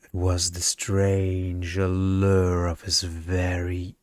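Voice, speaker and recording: A man reading a story in ASMR bedtime style, with a measured, deadpan delivery. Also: low voice, dry recording, clean studio sound.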